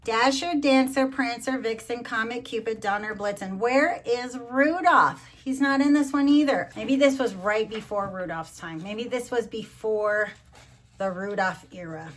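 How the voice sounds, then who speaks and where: Mature female storyteller, slightly high register; read-along for kids with conversational asides and gentle questions. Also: measured pace. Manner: addresses child listeners with gentle questions. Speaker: grandmother reading to children.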